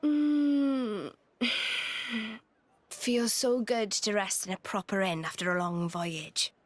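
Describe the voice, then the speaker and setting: An actress playing a self-possessed, gently teasing female travelling companion in a video game cutscene. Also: medium pitch, steady tone, slow pace, no room sound, close to an everyday voice. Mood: relaxed.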